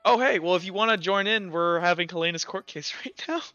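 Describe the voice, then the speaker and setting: A man's voice: high voice, a little fast, pushed and bright. A man casually narrating a tabletop game as game master, chatting informally with his players over a voice call. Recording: slightly dull online voice-call audio. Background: faint music.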